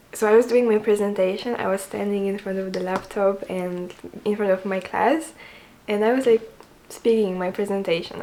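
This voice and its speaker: Soft-voiced young woman, earnest and hesitant, relaxed chatty vlog delivery at a middling pitch. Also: rough recording. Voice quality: soft quiet voice. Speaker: vlogger.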